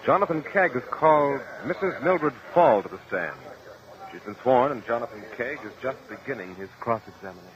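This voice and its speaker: A man's voice: medium pitch, lively intonation, fairly quick. A male announcer reading scripted copy in 1950s radio style, declarative and emphatic. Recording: dull, lo-fi vintage radio recording.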